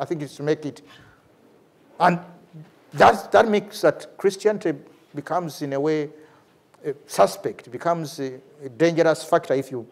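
A middle-aged man with a Ugandan accent, speaking off the cuff as a lecturer, animated and repeating words for emphasis. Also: on the high side. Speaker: lecturer, theology professor and priest. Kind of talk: lecture with off-the-cuff answer to a question. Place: large university lecture hall, at a lectern.

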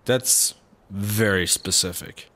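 A man's voice: on the low side, slow pace, pushed and bright. An actor playing an uptight, anxious cop, the fretful straight man of a comedy audio drama. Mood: matter-of-fact.

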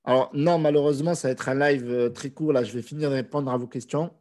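A man's voice: medium pitch, fast. A man in his thirties with a cold, casually answering viewer questions in a live stream from a small room on a video call with a desk microphone. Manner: relaxed, conversational, with frequent hesitations. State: has a cold.

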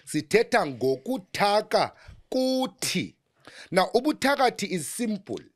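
A South African host opening and leading a casual conversation, playful and teasing, switching between languages. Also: mid-range voice. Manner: playful, animated, mixes languages.

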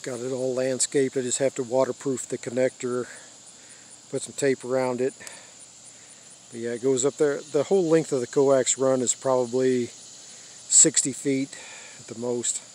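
An older American man giving a casual, unscripted show-and-tell in halting short phrases, recorded outdoors on a handheld device. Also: mid-range voice, flat delivery, warm tone, slight background noise. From United States. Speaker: radio hobbyist giving a tour. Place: open rural backyard, outdoors. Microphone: handheld phone or camera mic.

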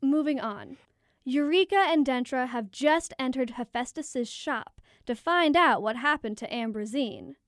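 Prim goddess storyteller, a woman acting, high voice, measured, close dry sound. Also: lively intonation, dry recording. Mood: composed. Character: proper, correcting goddess narrator. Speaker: narrator. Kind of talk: scripted storytelling.

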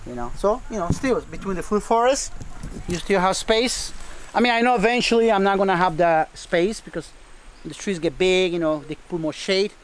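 A middle-aged Costa Rican man with a high voice and native Spanish shows people around his farm. He talks casually in simple phrases, repeating words, with faint outdoor noise around him. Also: moderate pace, low-quality recording. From Costa Rica. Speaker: farmer showing his homestead. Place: outdoors in a tropical food forest.

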